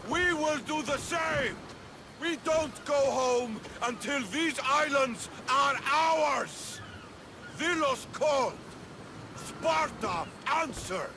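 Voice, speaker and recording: An actor playing a fierce Spartan commander in a video game, declaiming a rousing battle speech. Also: male voice, very high voice, expressive, slow, background noise, heavily acted. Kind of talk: video game cutscene acting.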